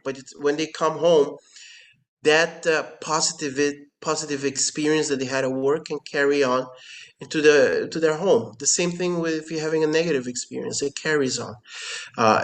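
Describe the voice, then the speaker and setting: Earnest man. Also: fairly high pitch. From Curacao. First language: Papiamento. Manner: earnest, repeats words as he searches for phrasing. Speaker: company founder, interview guest. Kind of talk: explanatory business conversation. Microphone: remote call.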